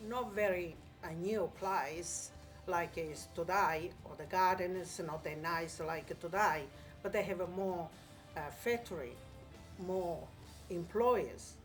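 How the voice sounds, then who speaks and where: Older woman, slow broken English. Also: lowish voice, faint music behind. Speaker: community member. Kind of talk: casual interview.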